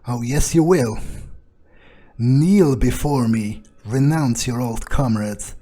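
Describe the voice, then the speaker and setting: A man stays in character as a gloating, menacing vampire while he plays. He talks slowly and deliberately in a dark, mellow voice. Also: mid-range voice. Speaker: roleplaying let's play host. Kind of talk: in-character roleplay commentary.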